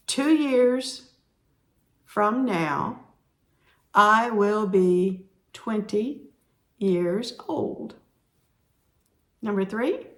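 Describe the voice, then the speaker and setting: A woman teaching sign language, explaining each sign slowly and repeating the words as she demonstrates. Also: medium pitch. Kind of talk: slow instructional explanation.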